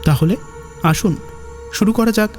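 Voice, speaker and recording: A male host delivers a scripted introduction to an audio story. His voice is high for a man, and music plays behind it. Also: measured pace, darkish tone.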